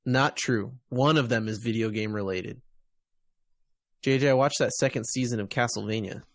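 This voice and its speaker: A man's voice: mid-range voice, moderate pace. An American man hosting a podcast, chatting casually about games and media. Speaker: podcast host.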